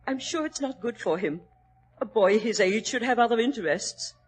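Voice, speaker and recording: Woman playing a fretful high-society mother, high voice, lively swooping pitch, fussy, 1940s radio play. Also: normal speed, a little hiss or hum, natural voice. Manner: fussy, genteel, easily alarmed. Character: fretful aristocratic English mother, older. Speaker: Lady Maynooth. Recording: old radio broadcast recording.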